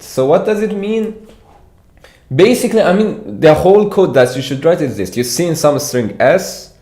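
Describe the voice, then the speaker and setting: A man from Iran with a Persian accent, giving an informal livestreamed walkthrough as a programming instructor, thinking aloud haltingly. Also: fairly high pitch, a little mellow. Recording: echoey room.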